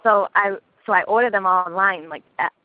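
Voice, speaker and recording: Fast-talking young woman on a phone line. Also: mid-range voice. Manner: chatty storytelling, swears and laughs easily. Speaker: caller. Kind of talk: casual phone chat. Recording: dry tinny phone line.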